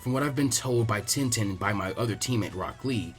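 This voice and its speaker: A man voicing a cool, arrogant young prodigy ninja in a story reading, condescending, measured and fatalistic. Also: fairly low pitch, expressive, soft background music, pitched below the performer's own voice, close to an everyday voice. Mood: smug, cold. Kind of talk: dramatic audiobook character acting.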